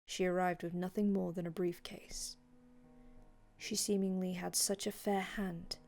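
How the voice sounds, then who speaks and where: Hushed, even-toned vampire storyteller voiced by a woman. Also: lowish voice. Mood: wistful, hushed storytelling. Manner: hushed, breathy, dramatic pauses, old-fashioned formal wording. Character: melancholy otherworldly vampire from an older time, telling old tales. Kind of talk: ASMR roleplay storytelling. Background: music.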